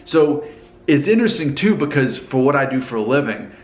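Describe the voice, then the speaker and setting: A man's voice: medium pitch, very animated, fairly quick, roomy sound. A man from Alabama with a mostly neutral American accent and a faint Southern hint, musing casually to the camera and asking the viewer questions. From Alabama, USA. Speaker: vlogger.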